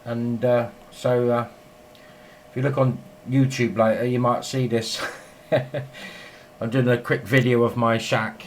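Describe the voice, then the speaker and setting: A man's voice: medium pitch, level tone, a little slow. An English man chatting informally as an amateur radio operator filming a casual vlog. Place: small indoor radio shack at home. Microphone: handheld camera. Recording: faint noise, home radio shack camera.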